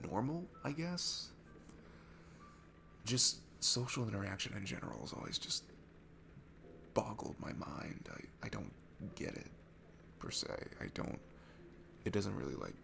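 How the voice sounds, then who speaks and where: Male actor as shy, awkward bar stranger for ASMR roleplay: low, heavily creaky voice, self-deprecating stammer, music behind. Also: exaggerated voice. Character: shy, nervous, sweet awkward guy at a bar. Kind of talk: intimate ASMR roleplay monologue.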